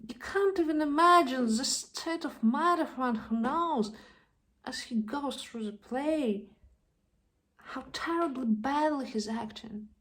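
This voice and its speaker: Woman acting a fragile young stage actress, high voice, slow halting delivery, breathy, exhausted, distraught, theatrical monologue. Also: low-quality recording. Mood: downhearted, wistful. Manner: halting, repeats words, swings from hushed to loud.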